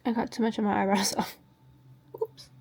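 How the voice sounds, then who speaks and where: A woman with a medium-pitched voice talks informally to her viewers, in the style of a casual beauty tutorial. She narrates each step as she goes in a chatty way. The recording has a faint layer of noise behind her. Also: steady tone, moderate pace, darkish tone. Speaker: beauty vlogger hosting a routine video.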